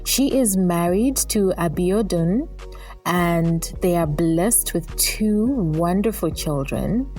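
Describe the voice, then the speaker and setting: A woman reads a scripted guest introduction in a slow, deliberate way. Her voice sits fairly low, and soft music plays faintly behind her. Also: expressive.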